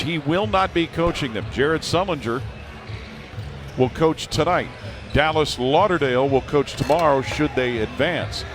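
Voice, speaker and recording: Measured male play-by-play announcer, music behind. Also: medium pitch. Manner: measured, informative. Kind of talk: broadcast play-by-play.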